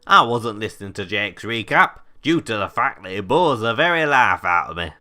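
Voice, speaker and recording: A man's voice: on the low side, very animated, normal speed, pushed and bright, heavily acted. A British man playing a grumpy, long-winded older prisoner in a scripted audio comedy. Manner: blunt, exasperated, long-winded. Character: grumpy, rambling older male prisoner plotting a heist.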